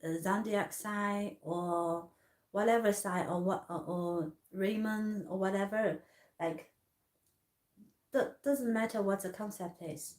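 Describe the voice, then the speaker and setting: A woman from Hong Kong in her thirties telling a personal story in halting English with many restarts. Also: medium pitch, a little mellow, reverberant. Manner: halting, many restarts and fillers, non-native grammar. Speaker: artist vlogger.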